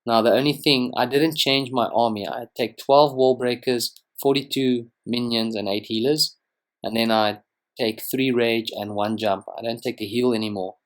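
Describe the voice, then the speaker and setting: A young man whose first language is Afrikaans gives relaxed, rambling commentary over strategy game footage, with frequent ums and restarts. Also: mid-range voice, moderate pace. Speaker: gaming channel host. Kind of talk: casual gameplay commentary.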